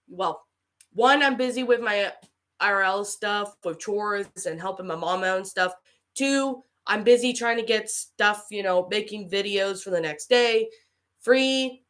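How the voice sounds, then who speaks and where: A woman with a cold, chatting casually as a livestream host and answering viewers in a halting way. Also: mid-range voice, pushed and bright. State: getting over a cold with allergies. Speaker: livestream host and creepypasta narrator.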